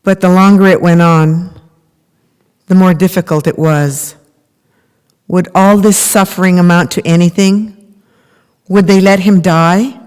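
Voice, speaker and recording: Woman acting a slow, clear-voiced earnest mother. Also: on the low side, steady tone, a little mellow, close to an everyday voice. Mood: earnest, sorrowful. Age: middle-aged. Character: earnest mother of a prisoner, campaigning. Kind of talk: readers theater monologue, read from script. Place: large temple hall, at a lectern. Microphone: microphone on a stand at lectern. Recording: reverberant hall recording.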